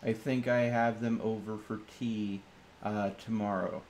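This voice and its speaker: A man's voice: fairly low pitch, little pitch movement, unhurried, a little mellow, a little hiss or hum. A man playing a tabletop roleplaying game on a stream, talking casually in character and bantering with the group.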